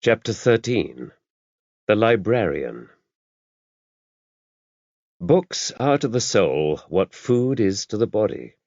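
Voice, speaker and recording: Low male narrator reading a mystery audiobook, really animated, slightly dull sound. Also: slow, long pauses, no echo. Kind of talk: scripted narration of a mystery novel.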